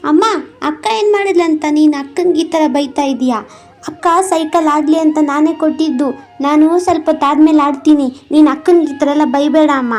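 Woman doing a small girl standing up to mom, high voice, pleading, cartoon. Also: steady tone, normal speed, a little mellow, soft background music, lightly acted. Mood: protesting. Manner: pleading, protesting. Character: young daughter, loyal, protesting little girl.

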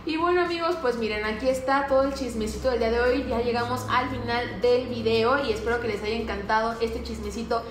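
Young woman, Mexican accent, gossip host, mocking, slightly high voice, roomy studio. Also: a little fast, few pauses. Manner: lively, mocking. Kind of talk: casual gossip chat. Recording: roomy studio with faint ambience.

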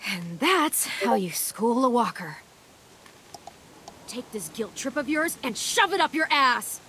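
Tough American teen girl, video game survivor, sardonic, blunt, slow-paced delivery, faint background noise. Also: sits high, a bit bright. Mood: furious, defiant. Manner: blunt, dry, sarcastic.

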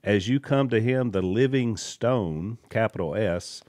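Low-voiced man reading scripture slowly. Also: a little mellow, dry recording. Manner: reads verses slowly and deliberately. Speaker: podcast co-host. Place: wood-paneled studio room. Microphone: studio mic with headphones.

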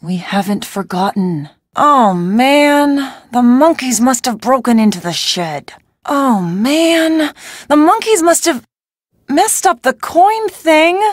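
Casting: young American female adventure-game sidekick, peppy and wry, fairly high voice, dry studio sound. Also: no room sound. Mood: exasperated, urgent. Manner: expressive, colloquial, wry asides. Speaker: video game voice actor. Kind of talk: scripted adventure game dialogue.

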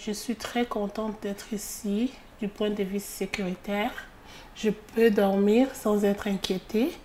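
A woman interviewee speaking calmly and contentedly. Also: medium pitch, unhurried, slight background noise. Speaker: immigrant interviewee.

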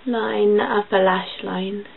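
A young woman with a croaky voice, chatting casually through a makeup tutorial in her bedroom, with filler ums as she goes. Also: mid-range voice, fairly even intonation, takes it slowly. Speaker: beauty vlogger. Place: small bedroom. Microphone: built-in webcam mic. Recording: tinny lo-fi webcam audio, faint hiss.

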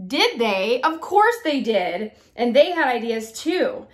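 A woman's voice: a little high, slow pace. A woman in her thirties reading a children's picture book aloud expressively, speaking to young listeners. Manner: expressive, acts out dialogue, addresses young listeners. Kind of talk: children's storytime read-aloud. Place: bright room by a window.

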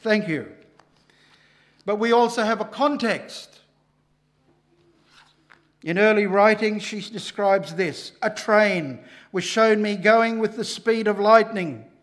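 An older man preaching a sermon at a church lectern microphone, emphatic and rising loud on key lines. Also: sits high.

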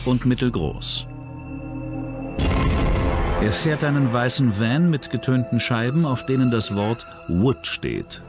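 A man's voice: mid-range voice, animated, slow pace, pauses often. A man reading scripted true-crime documentary narration in a dramatic, suspenseful storytelling manner. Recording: loud music under the voice. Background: music.

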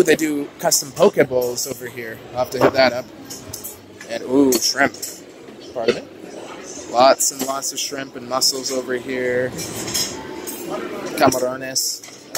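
Young male vlogger, upbeat chatty walkthrough, phone-camera mic, noisy busy restaurant behind. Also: medium pitch. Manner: upbeat, chatty, lots of "ooh" and "uh". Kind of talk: casual walkthrough narration. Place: large indoor buffet restaurant. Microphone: handheld phone camera. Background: diner chatter and clatter.

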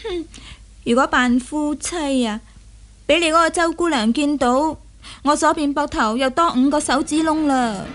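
An actress in a radio drama playing a shrewd, teasing and imperious young heroine. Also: female voice, high voice, a little slow, a bit bright. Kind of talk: Cantonese radio drama acting.

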